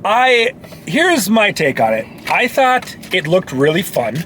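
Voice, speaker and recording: Guy w high lively voice talking movies, swears a lot, teasing, noisy car recording. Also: takes it slowly. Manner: profane, blunt, teasing. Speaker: co-host of brothers' movie review vlog. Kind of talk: casual chat, movie talk.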